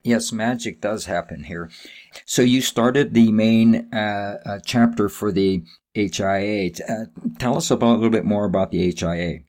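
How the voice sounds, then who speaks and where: Lowish guy hosting a podcast, upbeat intro reading lists. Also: normal speed, a little mellow. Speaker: podcast host. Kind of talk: scripted show intro, then interview questions.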